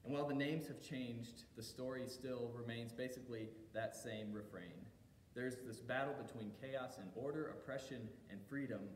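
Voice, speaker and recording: A man delivering a sermon as a pastor, telling the story in measured phrases with deliberate pauses and emphasis. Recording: echoey hall reverb, faint low rumble.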